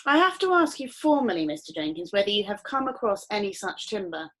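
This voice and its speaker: A woman's voice: mid-range voice, sing-song, brisk, a bit bright. A woman voicing a pompous, portly male customs officer who talks in a formal, officious way.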